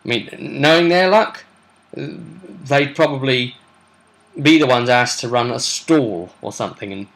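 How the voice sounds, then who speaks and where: A man's voice: mid-range voice, a little slow, fairly bright tone, low-quality recording. A man hosting a tabletop role-play vidcast as game master, casually recapping a session with rambling, chatty asides.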